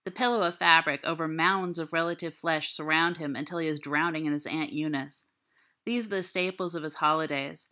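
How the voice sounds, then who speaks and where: Low, very bright-voiced woman narrating fiction. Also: fairly even intonation, brisk. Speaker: audiobook reader. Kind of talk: scripted literary narration.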